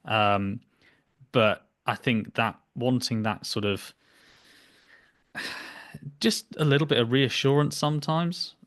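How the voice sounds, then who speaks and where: A man's voice: medium pitch, slow pace, pauses often, a bit bright. A young man hosting a podcast in casual interview chat, with a hesitant, rambling delivery. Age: young adult. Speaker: podcast host. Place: small home room, indoors. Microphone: computer mic on a video call.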